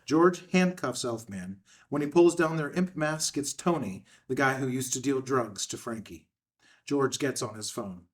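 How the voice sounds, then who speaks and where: A man's voice: medium pitch, lively intonation, measured pace. A man narrating scripted stage directions for a screenplay read, recorded at some distance from the microphone.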